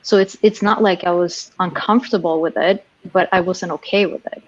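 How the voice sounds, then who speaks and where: A woman's voice: lowish voice, fairly even intonation, moderate pace, highs cut off. A woman from Sweden, a sales professional speaking frankly on a panel, often restarting her sentences. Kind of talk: casual panel discussion.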